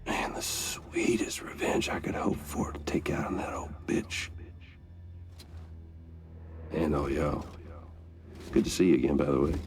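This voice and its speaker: An actor playing a gloating, theatrical, vengeful villain in a video game, with a gravelly American Southern drawl that dips into whispers. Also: male voice, extremely low pitch, fairly even intonation, measured pace, pauses often, a little mellow, soft background music. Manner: theatrical, taunting, savouring each word. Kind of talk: cinematic video game acting.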